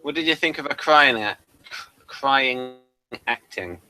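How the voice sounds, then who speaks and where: A man's voice: mid-range voice, slow pace, bright, forceful tone, low-quality recording. A man chatting casually as a co-host on a review show. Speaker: podcast co-host reviewing a TV episode. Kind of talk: casual chat.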